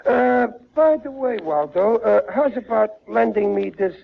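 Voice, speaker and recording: Blustery, high-pitched bumbling radio dad. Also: male voice, animated, unhurried, gravelly, exaggerated voice. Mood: coaxing. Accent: American. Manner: blustering, stammering, repeats his words. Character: bumbling, excitable working-class American family father. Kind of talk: 1940s radio comedy acting. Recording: muffled, noisy vintage radio broadcast.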